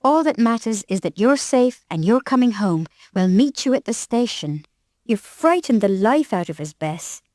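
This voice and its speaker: Woman voicing a soft, hushed tearful mother. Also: on the high side, big pitch swings, normal speed, no room sound, studio quality. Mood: relieved.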